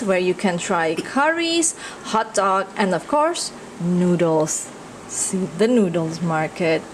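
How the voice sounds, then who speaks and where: A woman's voice: mid-range voice, slight background noise, low-quality recording. A woman with an Indonesian accent reads a children's picture book aloud expressively, engaging young listeners with questions and exclamations. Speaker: children's storyteller.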